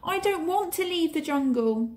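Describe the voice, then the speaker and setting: A woman's voice: very high voice, expressive, normal speed, a little mellow, voice pushed up. A woman voicing a stubborn, defiant ten-year-old jungle boy from a storybook, protesting insistently.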